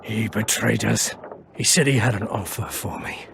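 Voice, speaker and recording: An actor playing a badly wounded, proud and bitter elf freedom fighter in a video game, weak with pain, halting, breathy and often near a whisper. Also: male voice, fairly low pitch, background noise. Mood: bitter, despairing. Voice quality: gravelly, breathy, falling into whispers. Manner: halting, defiant. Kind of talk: video game cutscene acting.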